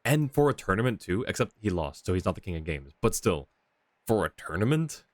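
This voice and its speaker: A man's voice: low voice, very animated, brisk, no echo. A man giving casual live commentary as a game streamer, close to the microphone.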